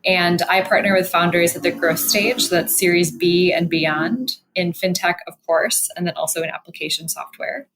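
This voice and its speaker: A woman's voice: fairly low pitch, moderate pace, hardly any pauses, noisy background. A woman from Milwaukee, Wisconsin, answering interview questions as a podcast guest in a fluent, composed way. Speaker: podcast guest, venture investor.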